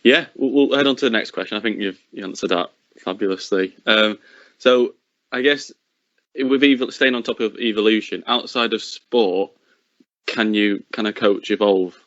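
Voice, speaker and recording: Low-voiced young interviewer. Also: male voice, normal speed, fairly bright tone, no echo. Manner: encouraging, frequent backchannel agreement. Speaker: podcast host. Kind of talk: interviewer asking questions. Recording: dry lo-fi recording.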